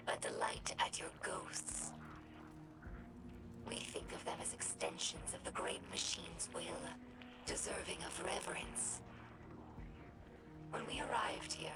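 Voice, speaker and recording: A young female alien scholar from a video game, speaking in a low, breathy half-whisper. She is earnest, gentle and inquisitive, choosing her formal words with care. Loud music plays behind her. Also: low-quality recording. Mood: solemn, thoughtful. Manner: measured, formal, thoughtful phrasing. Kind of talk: video game character dialogue.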